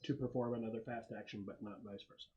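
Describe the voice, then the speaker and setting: A man's voice: lowish voice, fairly even intonation, fast talker, mellow, muffled recording. A man acting as game master, talking casually and explaining rules at a tabletop session. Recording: clear background noise, clicks.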